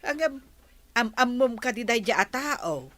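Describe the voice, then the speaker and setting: A woman's voice: a little high, sing-song, a little slow. An actress playing a curious, meddling married village woman in a radio comedy drama. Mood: concerned.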